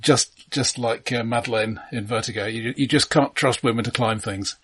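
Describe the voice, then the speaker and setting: A man's voice: medium pitch, steady tone, normal speed, a bit bright, slightly rough. A man co-hosting a casual film discussion in a wry, analytical manner. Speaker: film podcast host.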